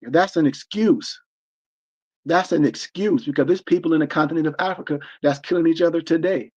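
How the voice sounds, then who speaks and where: American man, forties, preachy live discussion host, fairly high voice, dull stream audio. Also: darkish tone, husky. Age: 40s. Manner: preachy and emphatic, many restarts. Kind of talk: livestream discussion.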